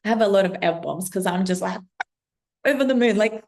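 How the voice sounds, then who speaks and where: A woman's voice: medium pitch, normal speed, creaky, darkish tone. A woman from Russia in her thirties, talking straight to camera as a spiritual business coach, effusive and breathless, swearing freely and saying "like" often. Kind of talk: excited casual monologue to camera.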